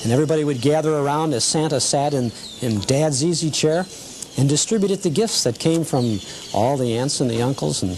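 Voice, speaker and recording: A man presenting and interviewing as a TV host in a casual, chatty, halting way. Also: fairly high pitch, darkish tone. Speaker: host of a nostalgia TV show. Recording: noticeable background noise.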